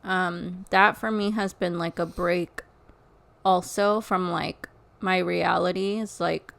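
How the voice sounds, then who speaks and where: Young woman podcast co-host, lots of vocal fry, easygoing chatty tone. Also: fairly low pitch, steady tone, takes it slowly, fairly bright tone. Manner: easygoing, reads a list aloud with asides. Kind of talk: casual chat.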